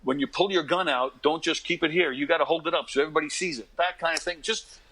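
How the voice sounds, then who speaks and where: An American man in his fifties talking casually as an interview guest, in a rambling, self-effacing, animated way. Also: on the high side, fast talker, low-quality recording. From United States. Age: 50s. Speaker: guest, actor and comedy dinner theater director.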